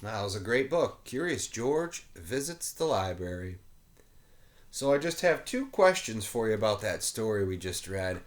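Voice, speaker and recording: A man's voice: on the low side, big pitch swings, a little slow. A man reading a children's picture book aloud expressively, with playful asides to young listeners. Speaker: children's story reader, teacher.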